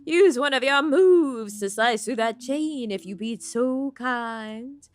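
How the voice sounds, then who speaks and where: A woman voicing a prim, polite and sensible game creature with a formal, measured manner. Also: a little high, soft background music. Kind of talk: game character read aloud.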